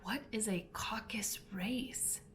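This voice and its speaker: A woman's voice: mid-range voice, takes it slowly, fairly bright tone. A woman voicing a curious, polite storybook girl with an earnest, questioning manner. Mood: timid.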